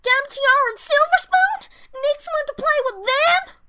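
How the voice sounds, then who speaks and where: A woman voicing an excitable, indignant young filly pony in a cartoon-style audio story. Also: very high-pitched, unhurried, noisy background. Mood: alarmed curiosity.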